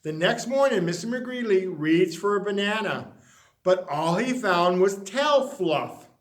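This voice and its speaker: A man reading a children's picture book aloud, expressive and dropping to a hush on the refrains. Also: sits high, unhurried. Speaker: storyteller.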